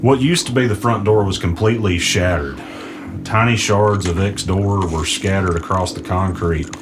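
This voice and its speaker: Low-voiced, laid-back studio guy played by a man. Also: normal speed, noisy background, close to an everyday voice. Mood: puzzled, wary. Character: laid-back young man running a recording studio, puzzled investigator. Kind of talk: naturalistic audio drama acting with first-person narration.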